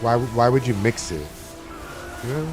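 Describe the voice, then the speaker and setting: A man's voice: mid-range voice, measured pace. A man from Miami chatting casually and profanely while streaming a game, rambling from one topic to the next. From Miami, USA. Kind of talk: casual live-stream chat while gaming. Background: game music.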